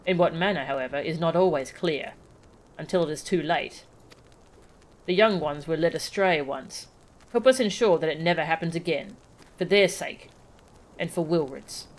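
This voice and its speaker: A woman's voice: low voice, moderate pace, pauses often, lightly acted, low-quality recording. A woman voicing a grave, dignified, wary settlement leader from a video game, speaking solemnly and formally. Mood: grave, urging. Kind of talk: reading video game dialogue aloud.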